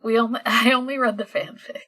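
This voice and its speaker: A woman's voice: a little high, fairly even intonation, brisk, fairly bright tone. A woman chatting casually as a podcast co-host. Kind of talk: casual chat.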